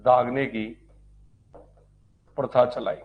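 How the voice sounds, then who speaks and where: A man's voice: mid-range voice, little pitch movement, slow pace, muffled recording. A man teaching history in a live exam-prep lecture, repeating phrases for note-taking and answering his own questions.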